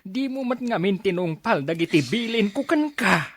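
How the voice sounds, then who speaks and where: A woman's voice: mid-range voice, lively intonation, normal speed, husky, dry recording. An actress with a Filipino accent playing a worried, reproachful mother in an Ilocano radio drama. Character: worried, reproachful mother scolding her grown child.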